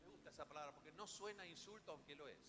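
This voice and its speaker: A man's voice: fairly high pitch, rapid-fire. A man with a Rioplatense Spanish accent preaching a sermon, dramatic, with rhetorical questions and emphatic repetition. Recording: echoey hall, music behind the voice.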